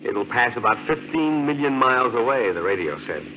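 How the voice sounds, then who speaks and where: A man's voice: mid-range voice, expressive, brisk, close to an everyday voice. An actor playing an easygoing, reassuring newlywed husband in his twenties in a 1950s radio drama. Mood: casual, reassuring. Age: 20s. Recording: noisy narrow-band 1950s radio recording.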